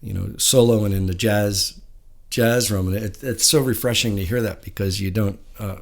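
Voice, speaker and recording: Rambling male host, low voice. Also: steady tone, normal speed. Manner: friendly, rambling, with many uhs. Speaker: show host. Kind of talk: casual interview chat.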